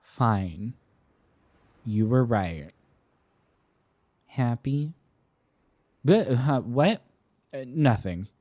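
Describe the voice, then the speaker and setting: A man's voice: medium pitch, expressive, drawn-out words, long pauses, dry recording. A young man playing a tender, flustered college-age boyfriend in an intimate romantic roleplay, with hesitant, stammering delivery. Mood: sheepish, tender. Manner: hesitant, stammering, soft-hearted.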